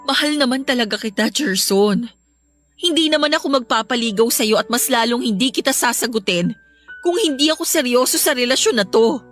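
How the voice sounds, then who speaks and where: A woman's voice: a little high, expressive, fairly quick, fairly bright tone, faint music behind. A woman with a Filipino accent acting as a weary, exasperated young girlfriend in a radio drama, defensive and strained. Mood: troubled, earnest. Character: weary, exasperated young teacher girlfriend torn under pressure.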